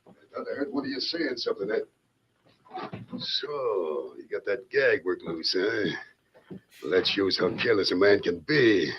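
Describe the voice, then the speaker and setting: An actor playing a menacing, taunting male outlaw in an old-time radio western serial, with a gravelly voice. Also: on the low side, lively intonation, moderate pace, long pauses, a little hiss or hum, natural voice. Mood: gloating menace. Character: gloating, panicky male outlaw villain in a western. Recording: dull vintage radio, music behind.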